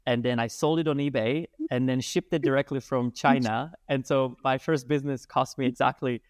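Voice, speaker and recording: A man, a guest telling his life story in animated talk full of hesitations. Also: medium pitch, moderate pace, no echo, studio quality. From Czech Republic. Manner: animated, hesitant with many fillers. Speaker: guest, breathwork and movement teacher. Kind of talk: casual storytelling interview.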